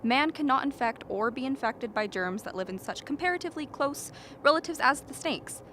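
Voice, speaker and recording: Woman acting confident, dismissive scientist, highish voice. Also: expressive, brisk, fairly bright tone. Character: confident, dismissive female scientist at polar base. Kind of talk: radio drama acting. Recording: faint background noise.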